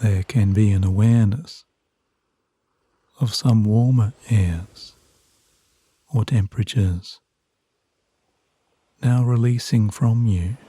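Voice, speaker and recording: A man narrating guided sleep hypnosis in a soothing, hushed, measured way with long pauses between phrases. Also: fairly low pitch, breathy edge, no echo.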